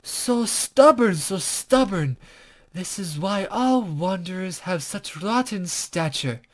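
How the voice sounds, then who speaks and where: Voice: medium pitch, animated, takes it slowly. A game player voicing a mysterious, playful woman from a visual novel, with teasing, archaic phrasing. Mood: scolding. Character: mysterious soothing woman, playful, enigmatic, selfishly impatient. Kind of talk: visual novel dialogue read aloud.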